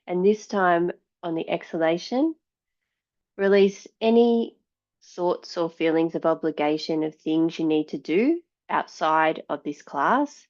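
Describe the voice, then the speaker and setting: A woman yoga teacher giving guided meditation and yoga instruction in a calm, measured, soothing way. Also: on the low side, dull audio.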